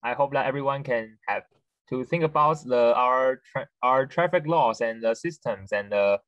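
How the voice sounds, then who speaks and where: A young man, a club member, making halting, hesitant casual remarks over a video call. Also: medium pitch, a bit bright, dry recording. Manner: hesitant, restarting often. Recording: dull dry video call audio.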